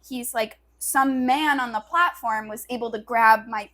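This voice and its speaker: A woman's voice: a little high, measured pace, sharp tone. A young woman co-hosting a casual book review, talking in a wry, analytical way. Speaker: podcast co-host.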